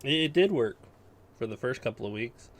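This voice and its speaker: A man's voice: medium pitch, lively intonation, a little fast, over a noisy crowd. Blunt man in casual chat. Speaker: podcast co-host.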